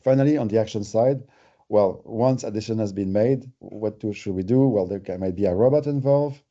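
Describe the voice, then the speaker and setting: A man's voice: fairly low pitch, fairly quick, dark tone, highs cut off. A man with a French Canadian accent, giving a conversational, explanatory webinar presentation with everyday examples. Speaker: company presenter.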